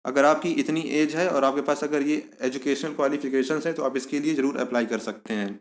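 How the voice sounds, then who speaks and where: A man's voice: medium pitch, steady tone, fairly quick. A man presenting an informational explainer, reading out and explaining a notice in an instructive way, repeating points for emphasis. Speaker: exam-prep video presenter.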